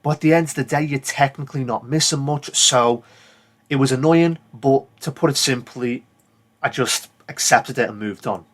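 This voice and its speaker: A man's voice: mid-range voice, moderate pace. A British man giving casual, rambling commentary as a gaming video creator, plain-spoken and given to swearing.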